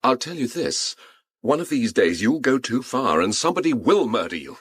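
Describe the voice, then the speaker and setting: A prickly, hot-tempered British painter in a radio drama. His husky voice is clipped in phrasing and swings widely in pitch. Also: very animated, normal speed, fairly bright tone, no echo. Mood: subdued, wary.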